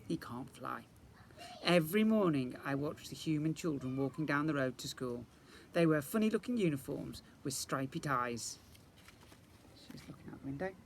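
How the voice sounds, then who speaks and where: A man reads a children's story as a teacher would to a young class. His voice is fairly high for a man and very animated, with faint noise behind it. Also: moderate pace, vocal fry. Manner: expressive, addresses young listeners. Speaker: infant school teacher reading to pupils.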